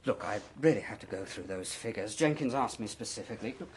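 An actor playing an impatient, dismissive British husband in a radio drama, brisk and patronising. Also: male voice, fairly low pitch, lively intonation, slight background noise, lightly acted. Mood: defensive. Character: impatient, dismissive career-minded husband and father.

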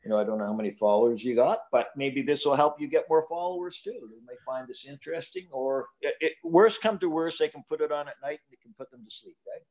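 A man's voice: a little high, lively intonation, fast talker, few pauses, darkish tone, low-quality recording. An older retired man chatting as a podcast guest, rambling with many restarts and filler phrases, joking about himself. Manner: rambling, self-deprecating, many "you know"s and restarts. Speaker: podcast guest, hobby builder. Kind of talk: casual interview chat.

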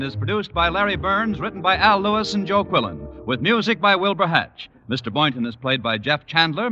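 Male old-time radio announcer: lively, fairly high, polished and emphatic, music faintly behind. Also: dull audio. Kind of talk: 1940s radio announcing, scripted ad copy.

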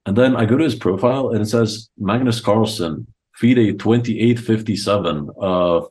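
A man's voice: fairly low pitch, moderate pace, a little mellow. A young man from Croatia telling stories informally and enthusiastically as a guest in a casual interview. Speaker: podcast guest, chess coach. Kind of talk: casual interview chat, storytelling.

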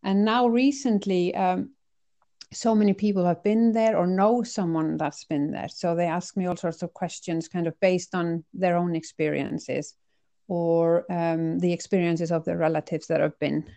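A woman's voice: fairly low pitch, fairly even intonation, a little slow, warm tone. A middle-aged woman from Iceland talking casually as an interview guest, choosing her words in a hesitant, reflective way. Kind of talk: casual conversational interview, personal anecdotes.

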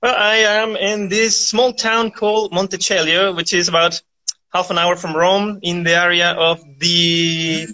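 A man's voice: sits high, level tone, unhurried, sharp tone, poor audio. A man from Chile, chatting casually as an interview guest in a rambling way, often saying "like". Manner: rambling, peppered with "like". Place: live video call.

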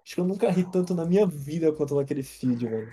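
Young Brazilian man, dark mellow voice. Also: a little high, quick pace, slight background noise. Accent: Brazilian Portuguese. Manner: loose, profane, animated storytelling. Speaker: podcast co-host. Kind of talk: casual chat.

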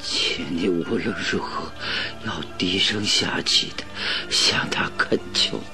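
An actor playing a gravely ill, stubborn detective in a radio drama, with a weak, breathy, halting voice. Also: male voice, sits low, over music. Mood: laboured pleading. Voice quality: breathy, raspy, weak whisper. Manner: halting, laboured, pleading.

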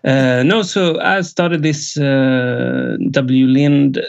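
A middle-aged man from Sweden with a Swedish accent, a musician guest in a casual interview, speaking haltingly with many filler pauses and frequent chuckles. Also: mid-range voice, steady tone, gravelly, smooth. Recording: dull lo-fi sound.

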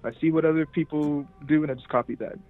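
A man's voice: a little high, fast talker. A young man whose first language is French, chatting casually and laughing easily in an interview over a call. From Cameroon. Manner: relaxed, chatty, laughs a lot. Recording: tinny phone-like line with faint music.

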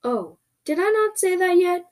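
A woman's voice: extremely high pitch, big pitch swings, moderate pace, darkish tone, pitched above the performer's own voice. Woman as a helpful gorilla-horse creature. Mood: explaining. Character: helpful gorilla-horse centaur creature, calm, apologetic rescuer.

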